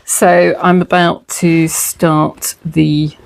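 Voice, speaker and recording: Low-voiced chatty woman with a cold. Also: little pitch movement, drawn-out words, creaky. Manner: chatty, self-deprecating, frequent ums. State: slightly throaty from a cold. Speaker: sewing vlogger. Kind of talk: casual sewing tutorial and chat. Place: home sewing room.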